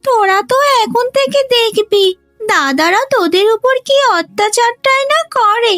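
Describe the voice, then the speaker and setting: A woman's voice: very high voice, lively intonation, a little slow, fairly bright tone, exaggerated voice. A woman playing a scheming, self-pitying young sister in a melodramatic cartoon, with a plaintive, theatrical delivery. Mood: gloating. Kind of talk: melodramatic Bengali cartoon dialogue. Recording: music behind the voice.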